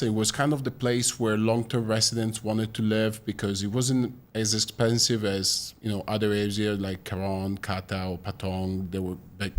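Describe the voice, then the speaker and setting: Italian-born guy, kinda low voice, podcast guest just explaining stuff calmly. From Italy. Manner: relaxed, explanatory, some fillers. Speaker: guest, business founder. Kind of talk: interview answers. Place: podcast studio.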